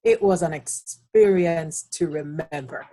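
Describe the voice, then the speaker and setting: A woman with a Jamaican accent giving an impromptu speech on an online call, slow and savoring in her descriptions. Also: low voice, warm tone. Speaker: Toastmasters speaker. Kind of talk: impromptu table topics speech. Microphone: online video call.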